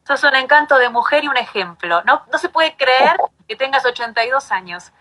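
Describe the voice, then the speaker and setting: A woman's voice: high-pitched, animated, moderate pace, bright, forceful tone. An Argentine woman hosting a conversational interview in a chatty, effusive manner. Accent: Argentine Spanish. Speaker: interviewer, host.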